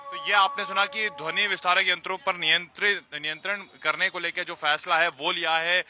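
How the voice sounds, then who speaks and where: A man's voice: high-pitched, steady tone, normal speed, over music. A man reporting live on location for the news, speaking as a field reporter.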